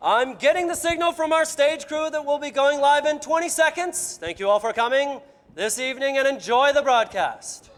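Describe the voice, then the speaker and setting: A man's voice: very high voice, normal speed, fairly bright tone. A man playing a brash 1940s radio host. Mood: showy. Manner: showy, emcee patter. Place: theater stage. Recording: echoey hall with crowd.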